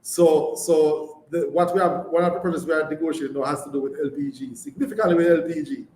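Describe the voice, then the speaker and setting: A man's voice: on the high side, fast talker, darkish tone. A Jamaican man answering as a chief executive on a live earnings call, emphatically repeating words and phrases. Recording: echoey room, background noise.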